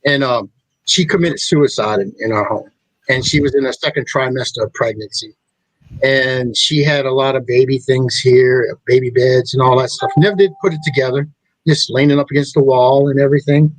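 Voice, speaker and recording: A middle-aged man hosting a talk show, speaking in a rambling, halting way with many restarts. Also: mid-range voice, fairly even intonation, rough recording. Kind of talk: casual chat.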